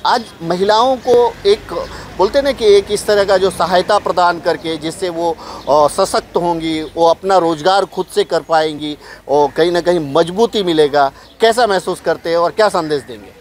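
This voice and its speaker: A man's voice: sits high, moderate pace, few pauses. A middle-aged man with an Indian accent reporting and interviewing on location as a news presenter. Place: outdoors on a busy street at an event. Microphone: handheld clip mic held close. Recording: noisy outdoor street ambience.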